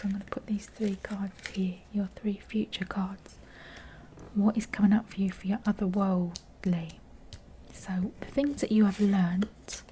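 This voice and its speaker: A woman with a croaky, breathy voice, often close to a whisper, giving an intimate, informal tarot reading to viewers with gentle, chatty asides and a slightly low-energy delivery. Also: a little hiss or hum. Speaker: tarot card reader and channel host.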